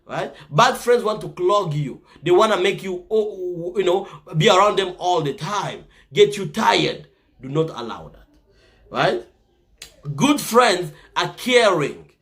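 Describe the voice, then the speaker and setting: Brief: Congolese-accented man, high and animated voice, earnest advice vlogger. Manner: earnest, often ends points with "right?". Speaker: vlogger giving life advice. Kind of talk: casual advice monologue.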